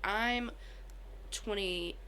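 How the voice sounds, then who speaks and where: A woman's voice: mid-range voice, drawn-out words, bright, forceful tone. A woman, co-hosting a podcast with blunt, crude jokes. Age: 20s. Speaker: podcast co-host. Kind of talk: casual chat. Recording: faint background noise.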